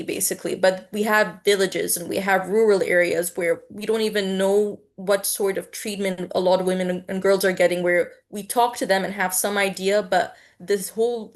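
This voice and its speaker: A young adult woman from Egypt moderating an online panel discussion, in a conversational, lightly hesitant manner. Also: mid-range voice.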